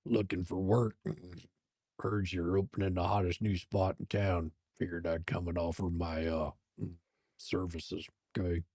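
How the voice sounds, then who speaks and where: A man playing a cocky, flirtatious chef in a romance drama, with a smooth, teasing drawl. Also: sits low, flat delivery, unhurried, darkish tone, dry recording, clean studio sound, exaggerated voice. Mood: smug, playful. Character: Liam, cocky flirtatious male chef, arrogant and mischievous.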